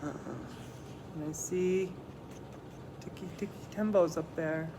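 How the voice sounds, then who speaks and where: A man's voice: on the high side, lively intonation. A man reading a picture book aloud to children, with dramatic phrasing and simple explanatory asides. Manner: dramatic phrasing, slowing for emphasis. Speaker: children's story reader. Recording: clear background noise.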